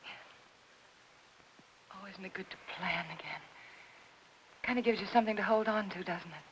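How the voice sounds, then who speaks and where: An actress playing an anxious, devoted young wife in an old noir drama, earnest and soothing. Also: female voice, medium pitch, normal speed, close to an everyday voice, rough recording. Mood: wistful, hopeful. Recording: hissy old-time film soundtrack.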